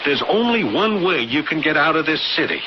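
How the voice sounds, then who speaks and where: A anxious, quick-witted artist in a radio play. He has a medium, very lively voice with a hesitant stammer, heard through dull, noisy old radio sound. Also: fairly high pitch. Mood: tense, pleading. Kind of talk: radio drama acting.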